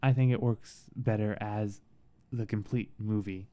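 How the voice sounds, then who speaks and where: A man giving a casual, halting film review in a soothing voice, with frequent pauses and restarts. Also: fairly low pitch, fairly even intonation, mellow, smooth. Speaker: movie reviewer.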